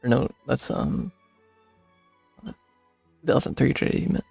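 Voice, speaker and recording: A man with a gravelly, husky voice giving casual, rambling gameplay commentary, muttering to himself on a close mic. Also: medium pitch, measured pace, a little mellow, dry recording. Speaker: video game streamer. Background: game music.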